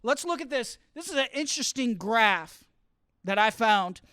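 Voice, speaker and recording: A man giving a lively teaching talk to students, often asking the room questions as he explains. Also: very high voice, normal speed, clean tone, no room sound, studio quality. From grew up partly in Costa Rica. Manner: asks the audience questions, explains with extended metaphor. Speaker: college speaker teaching study skills. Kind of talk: interactive classroom talk.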